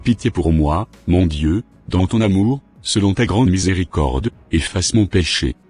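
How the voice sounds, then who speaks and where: A man's voice: deep voice, expressive, unhurried, darkish tone, breathy edge, soft background music, full character voice. A man voicing a sick, repentant preacher. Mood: pleading prayer. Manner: troubled, pleading, prays humbly.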